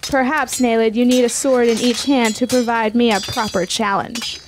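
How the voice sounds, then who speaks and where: An actress playing a proud, sharp-tongued young warrior maiden in a fantasy radio drama, speaking in formal, defiant phrases. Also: female voice, a little high, fairly even intonation, normal speed, background noise, natural voice. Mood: teasing, challenging. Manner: formal, archaic, declamatory phrasing.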